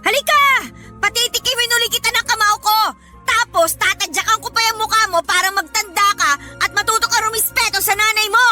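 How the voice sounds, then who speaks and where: A woman's voice: extremely high pitch, steady tone, fairly quick, over music. A woman playing a feisty, protective young coworker in a Tagalog radio drama, combative and challenging. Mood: fierce, taunting.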